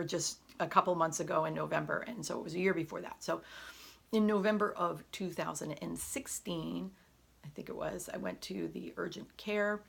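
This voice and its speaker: A woman chatting in an unscripted home vlog, often pausing with fillers and correcting herself, her voice hoarse at times. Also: fairly low pitch, fairly bright tone. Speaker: vlogger. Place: home room. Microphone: camera on a tripod.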